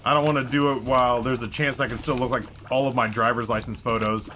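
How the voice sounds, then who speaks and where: A man in his thirties streaming and commenting on a game in a rambling, self-mocking way, his voice hoarse after hours of talking. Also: mid-range voice, fairly even intonation, fast talker, pushed and bright, faint music behind. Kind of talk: live gameplay commentary. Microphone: headset with headphones.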